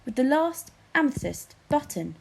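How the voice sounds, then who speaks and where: A woman's voice: high-pitched, very animated, normal speed, creaky, a little mellow, low-quality recording. A woman narrating a children's storybook expressively, as a teacher reads aloud.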